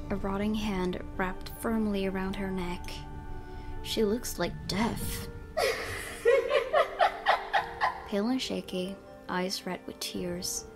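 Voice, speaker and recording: A woman hosting a gaming video, reading story narration aloud dramatically with casual reactions. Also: medium pitch. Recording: game music clearly behind. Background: game music.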